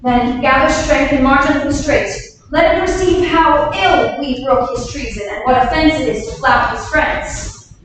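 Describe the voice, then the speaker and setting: Woman as a high-voiced young king, echoey hall. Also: moderate pace, a bit bright. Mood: stern. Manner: regal, formal declamation. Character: young king of England, gracious and peaceable. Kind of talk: staged reading of Shakespearean verse. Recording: echoey hall from far off, faint noise.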